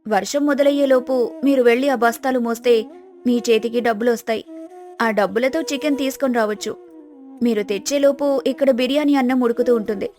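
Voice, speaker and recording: A woman playing a clever, patient young village wife, polite and persuasive, in an animated moral story. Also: on the high side, quick pace, soft background music, natural voice. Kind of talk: acted moral story dialogue.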